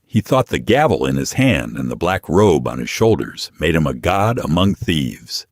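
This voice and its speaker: Audiobook narrator, man: deep register, dramatic delivery with wide melodic range, scripted fiction. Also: sing-song, moderate pace.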